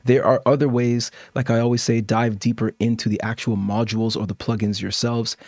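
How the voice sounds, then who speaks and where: A man's voice: mid-range voice, little pitch movement, fairly quick, a little mellow, no room sound. A middle-aged man hosting a casual music production tutorial, explaining step by step in an informal way and often checking in as he demonstrates. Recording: music and drum samples playing behind. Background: instrumental music and beat loops.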